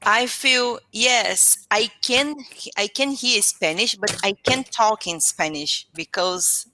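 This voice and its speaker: A woman from Brazil with a Brazilian Portuguese accent, practicing English and often pausing and restarting as she searches for words. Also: mid-range voice, pushed and bright. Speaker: English learner. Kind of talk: casual conversation practice.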